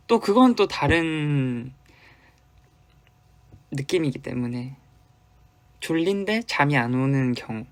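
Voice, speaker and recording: A young man from Busan with a light Busan accent, chatting casually with fans on a livestream and answering their comments. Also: fairly high pitch. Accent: Busan Gyeongsang Korean, light. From Busan, South Korea. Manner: chatty, loose and playful, with sudden drops to quiet murmurs. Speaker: idol hosting a fan livestream.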